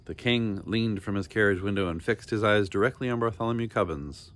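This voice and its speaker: A man narrating a children's storybook in an expressive, deliberate and dramatic storytelling style. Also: lowish voice, slight background noise. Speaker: storybook reader.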